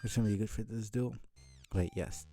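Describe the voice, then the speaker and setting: A man's voice: lowish voice, steady tone, mellow, faint music behind. A man reading a story aloud on a live stream, stumbling over words now and then and dropping in casual asides. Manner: halting, stumbles and rereads words.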